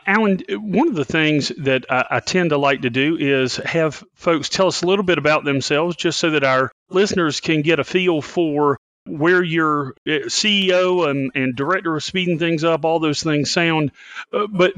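A man hosting an interview podcast, friendly and measured, often restarting his phrases. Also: on the high side, fairly bright tone, dry recording. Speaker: podcast host.

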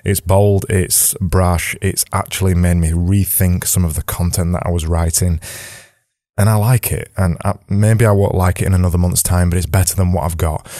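A man hosting a podcast as a casual audio diary from his attic at home, his voice slightly raspy, rambling and cutting in on himself. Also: low-pitched, warm tone, no echo, studio quality. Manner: rambling, self-interrupting. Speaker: podcast host.

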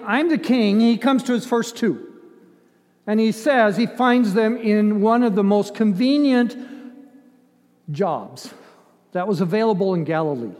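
High-voiced older man preaching in echoing hall. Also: a little mellow. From grew up in Ethiopia. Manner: emphatic, conversational, with dramatized asides and rhetorical questions. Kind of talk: sermon. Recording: long hall reverb.

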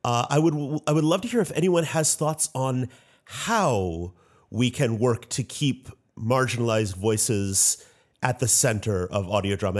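A man moderating a roundtable conversationally, phrasing his points tentatively and carefully. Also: lowish voice. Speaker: panel moderator.

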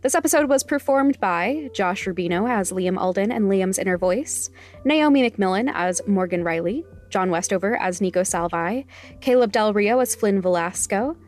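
A woman's voice: medium pitch, expressive, moderate pace. A woman reading scripted podcast credits and announcements as the show's creator. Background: faint music.

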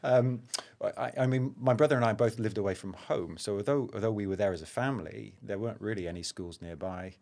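A middle-aged man with a slight London accent, a surgeon guest telling stories of his childhood in a relaxed, self-deprecating way. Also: on the low side, fairly quick, vocal fry, hardly any pauses. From Zaire and England. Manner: anecdotal, self-deprecating, with frequent ums. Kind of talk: relaxed conversational storytelling.

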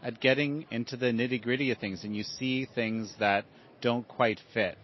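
A man interviewing a guest, asking questions in a halting way as he searches for words. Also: medium pitch, fairly even intonation, slight background noise. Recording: dull, lo-fi sound.

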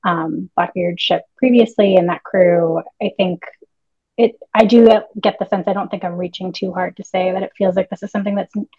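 A woman's voice: on the low side, steady tone, normal speed, dark tone, husky, no room sound, lo-fi sound. A woman co-hosting a casual fan discussion podcast, thinking aloud in long, analytical, wordy stretches. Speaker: podcast co-host.

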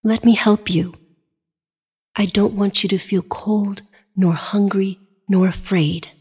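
A gentle, slightly husky young woman, caring and reassuring, as a storybook reader voices her. She speaks calmly and kindly. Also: mid-range voice, slow.

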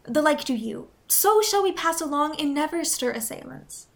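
A woman playing a spirited, loyal young noblewoman in a Shakespearean radio play, earnest and expressive. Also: high voice, normal speed. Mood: eager.

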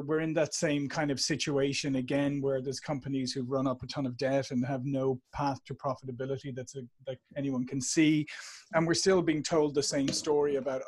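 Man, Dublin Irish accent, forties, podcast guest, lively chat, restarts sentences. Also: mid-range voice. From Ireland. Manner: animated, restarts sentences, frequent "uh". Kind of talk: conversational interview.

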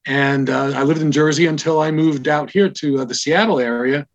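An older man born in Israel, a comic store owner, telling stories from his past in a wry, reflective, casual interview. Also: fairly high pitch. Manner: reflective, wry, unhurried storytelling. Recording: dull lo-fi remote audio.

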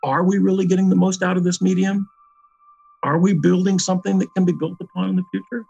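A middle-aged or older man reflects in an interview in a gravelly, husky voice, pitched a little high. The recording sounds somewhat dull and muffled. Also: flat delivery, fast, a little mellow, faint music behind. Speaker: interviewee, games journalist.

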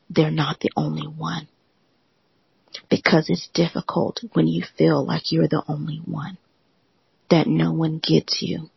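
Super soothing host, slow and breathy w lots of vocal fry, dull lo-fi sound. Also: medium pitch, dry recording. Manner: gentle, reassuring, often whispery. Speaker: host of a live audio chat room. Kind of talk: encouraging motivational talk.

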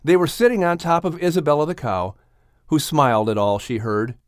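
A man narrating a children's audiobook in an expressive, scripted storytelling style. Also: a little high, a little fast, clear, resonant tone, no echo, clean studio sound.

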